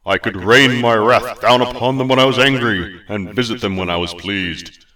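A man puts on a pint-sized male god with a booming, formal, archaic manner, louder than his plain reading. The voice sits low and sounds set back from the mic. It is a storybook deity who still talks as if from a throne. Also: moderate pace, far from the mic. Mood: solemn. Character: tiny pompous male god, grandly formal.

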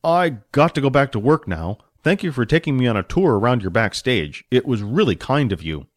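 A man's voice: mid-range voice, very animated, a little fast, no echo, studio quality, close to an everyday voice. A man playing a big, naive, good-natured young barbarian in an audiobook, speaking earnestly and simply. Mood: flustered. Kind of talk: audiobook character voice.

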